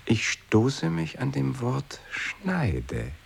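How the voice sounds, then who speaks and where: A man's voice: mid-range voice, wildly swooping pitch, very slow, gravelly, breathy edge, exaggerated voice. An actor in an experimental radio play, playing an evasive one-legged man with a terse, questioning way of talking.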